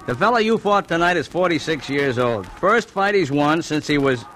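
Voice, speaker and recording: An actor playing a brash, smooth-talking small-time American hoodlum in an old-time radio drama. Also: male voice, on the high side, lively intonation, normal speed, fairly bright tone, faint music behind, low-quality recording. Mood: needling.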